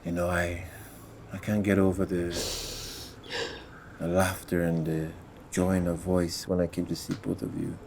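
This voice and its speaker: A man voicing a kind adult uncle who calms a crying child in a soft, hushed way. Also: sits low, measured pace, long pauses, a little hiss or hum. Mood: soothing. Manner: soothing, hushed, calming. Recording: faint music underneath. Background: music.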